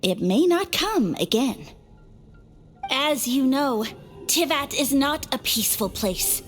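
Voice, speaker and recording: High, pompous mushroom sage lord. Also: female voice, sing-song, takes it slowly, faint music behind, full character voice. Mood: grandiose. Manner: grandiose, theatrical, haughty speech. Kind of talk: video game character acting.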